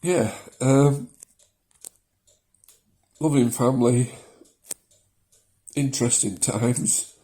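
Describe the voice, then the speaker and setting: A man in his seventies from South Yorkshire with a softened Yorkshire accent, talking casually and hesitantly into his phone in his living room. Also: medium pitch, very slow, pauses often. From South Yorkshire, England. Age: 70s. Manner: rambling, hesitant, with many ums and small laughs. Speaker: vlogger. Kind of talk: casual personal vlog. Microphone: mobile phone.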